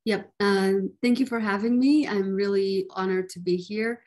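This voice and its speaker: A woman's voice: medium pitch, steady tone, normal speed, a little mellow. Brief: woman in her twenties from Afghanistan, interview guest, earnest and fluent. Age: 20s. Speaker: guest, student and activist. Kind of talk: interview answers.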